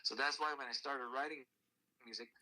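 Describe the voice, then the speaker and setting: A man's voice: medium pitch, fairly even intonation, sharp tone, low-quality recording. A man in his forties whose first language is French, a country singer telling his story in a casual interview with many restarts. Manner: halting, many restarts and fillers.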